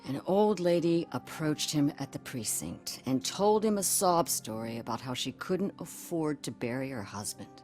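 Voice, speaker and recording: Low-voiced, worried, blunt wife. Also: female voice, animated, moderate pace, hardly any pauses, darkish tone, faint music behind. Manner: blunt, exasperated, plain-spoken. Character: worried, bitter wife of a missing policeman.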